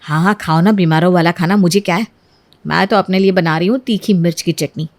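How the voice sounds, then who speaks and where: A woman's voice: on the low side, brisk, pitched below the performer's own voice, close to an everyday voice. A woman storyteller voicing a jealous, argumentative young housewife who complains and mocks her in-law. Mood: bitter, grumbling. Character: jealous, sarcastic elder daughter-in-law, young adult housewife.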